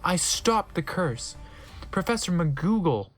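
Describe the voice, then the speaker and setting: A man reads a story aloud in a slow, theatrical way. His fairly high voice swings widely in pitch. The delivery is exaggerated and comedic, like a mock-dramatic reading. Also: big pitch swings, soft background music. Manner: dramatic, exaggerated, breaking off to react. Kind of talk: theatrical reading of fan fiction with baffled asides.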